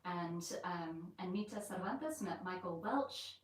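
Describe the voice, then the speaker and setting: Woman vlogger, American with a touch of Yorkshire, rambling and casual, lowish voice, heard from a little way off in a booming room. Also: lively intonation, takes it slowly. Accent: American mixed with light Yorkshire. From England and the United States. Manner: chatty and rambling, with many fillers. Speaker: vlogger hosting a live stream. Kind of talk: casual live-stream chat. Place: indoors in an old house. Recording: very echoey room, mic at a distance.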